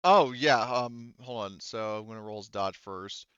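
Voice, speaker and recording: Man, game master, smooth clear voice, casual exclamatory narration, dry slightly dull recording. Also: on the low side, measured pace, a bit bright, no room sound. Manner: informal, exclamatory, swears freely. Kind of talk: casual tabletop game narration and rules adjudication.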